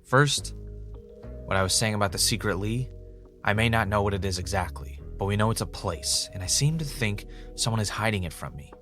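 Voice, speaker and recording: A man acting as an anxious, self-doubting podcast host in an audio drama, talking in a halting, confessional way. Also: on the low side, fairly bright tone, faint music behind, lightly acted. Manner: hesitant, self-questioning, halting.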